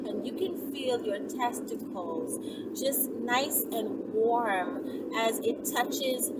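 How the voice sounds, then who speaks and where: Higher-pitched woman over loud background noise. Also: drawn-out words. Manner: conversational, often tagging sentences with "right?". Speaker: channel host giving explicit adult advice. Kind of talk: informal instructional talk to listeners. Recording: distant mic, loud ambient vehicle-like noise.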